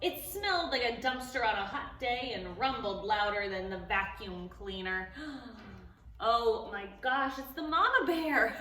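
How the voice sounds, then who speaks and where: A woman with a high, bright voice reads a picture book to little children, taking her time and leaning into each line. She is animated and playful, breaking off to ask the kids questions. The recording is echoey, with her voice sitting a little back from the mic. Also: measured pace. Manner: playful, gasps and exclaims at the story. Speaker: library storytime reader. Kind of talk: reading a picture book aloud to preschoolers. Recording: echoey room, voice a bit far from the mic.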